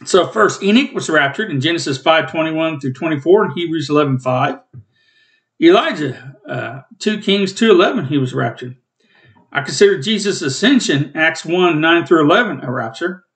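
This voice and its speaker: Rambling man in his fifties, husky throat. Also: on the high side, expressive, moderate pace, fairly bright tone. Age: 50s. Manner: rambling, plainspoken, self-corrects. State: coughing, rough throat. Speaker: Bible teacher and channel host. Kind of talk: casual home Bible study talk. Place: small room at home, indoors.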